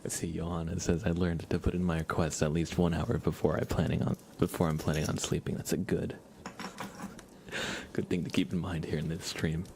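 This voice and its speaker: A man hosting an ASMR livestream in a hushed, slow, soothing whisper. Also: low-pitched, level tone, creaky, a little hiss or hum.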